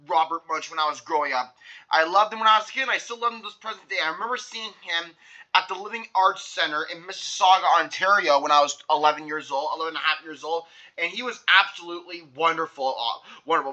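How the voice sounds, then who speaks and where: A man's voice: high-pitched, bright, forceful tone, muffled recording. A young man, hosting a read-along video in an enthusiastic, repetitive way. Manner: enthusiastic, repeats himself. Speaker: video host reading aloud.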